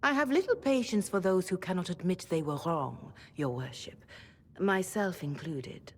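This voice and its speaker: A woman playing a gracious, devout older cleric in a video game, speaking formally with measured courtesy and gentle frankness. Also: lowish voice, animated. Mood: composed, sincere.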